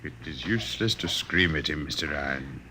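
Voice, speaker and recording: A menacing Martian villain from old-time radio. His low voice is very crisp and forceful, and he talks in formal, measured, condescending phrases over a faintly noisy vintage recording. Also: animated, heavily acted. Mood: calm menace. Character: coldly polite, menacing Martian villain, posing as a man.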